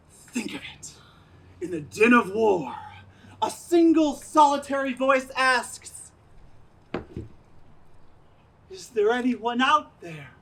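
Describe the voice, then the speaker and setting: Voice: sits high, a bit bright, exaggerated voice. An actor playing a pompous, tyrannical radio playwright in a stage comedy, declaiming in a grandiose, imperious way. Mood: grandly self-admiring. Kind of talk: community theater stage comedy acting. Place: outdoor stage in a parking lot.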